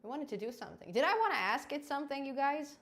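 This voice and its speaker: A young adult woman hosting a live coding stream, chatty and quick to laugh, often restarting her words. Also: sits high, big pitch swings, fairly bright tone. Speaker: programming channel host.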